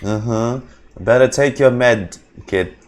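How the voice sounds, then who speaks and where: A man's voice: mid-range voice, slow, very noisy. A man streaming a video game, giving casual off-the-cuff reactions in short murmured interjections.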